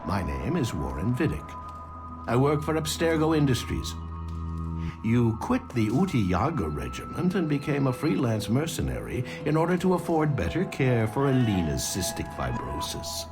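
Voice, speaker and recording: A middle-aged corporate villain in a dramatic game cutscene. He is condescending and falsely soothing, with very wide swings of pitch over a cold menace. Music plays clearly behind him. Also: wildly swooping pitch, normal speed. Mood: coolly menacing. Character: smooth, coldly menacing corporate executive villain.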